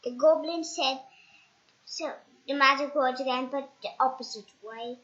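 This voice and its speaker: A child narrates a storybook in a high, bright voice. The delivery is slow, halting and dramatic, with repeated words. The recording is echoey and slightly dull. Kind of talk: children's storybook read-aloud.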